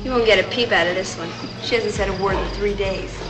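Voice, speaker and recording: A woman's voice: mid-range voice, fairly quick, lightly acted, poor audio. An actress playing an offhand woman at a summer camp who brushes off a silent girl, from a film clip. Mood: dismissive.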